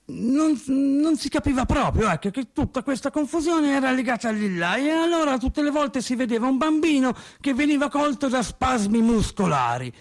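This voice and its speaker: A man acting a theatrical radio monologue as an agitated, rambling working man who recalls his childhood in halting, repetitive colloquial speech. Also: extremely high pitch, very animated, exaggerated voice. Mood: flustered, agitated. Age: adult over thirty. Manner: halting, repetitive, colloquial storytelling with stammered restarts.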